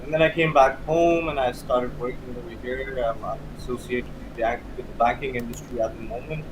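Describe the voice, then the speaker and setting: Male interview guest, thirties, Pakistani accent, medium pitch, explanatory and conversational, noisy background. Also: brisk. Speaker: interview guest, cigar hobbyist. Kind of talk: casual interview chat. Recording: clear steady background noise.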